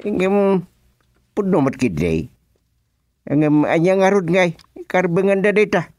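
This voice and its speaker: Brief: man as boastful village candidate, lively pitch, dry sound. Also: a little slow, dry recording. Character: ambitious village man running for office. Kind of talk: comedic radio drama acting.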